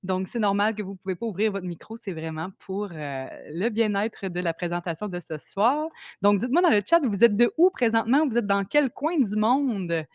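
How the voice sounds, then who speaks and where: A woman's voice: mid-range voice, lively intonation, normal speed, a little mellow, no room sound. A woman with a Québécois accent, chatty and upbeat, hosting a live video call presentation and greeting people from the chat. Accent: Québécois French. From Quebec, Canada. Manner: chatty and upbeat, reads out chat names, frequent fillers. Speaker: presenter of an online sales meeting. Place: home in Tulum.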